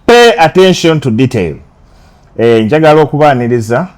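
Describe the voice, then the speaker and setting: A man hosting a casual interview, with a lively introduction to the show and then short, steady prompts and agreements. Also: mid-range voice, normal speed. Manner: lively host intro, otherwise brief affirmations. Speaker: interview host.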